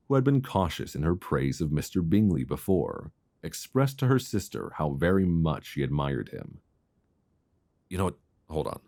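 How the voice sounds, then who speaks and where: A man playing an affectionate, gently teasing long-distance boyfriend in an intimate, unhurried ASMR roleplay voice message, speaking conversationally close to a binaural microphone. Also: extremely low pitch, vocal fry, dry recording, exaggerated voice. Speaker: audio roleplay performer.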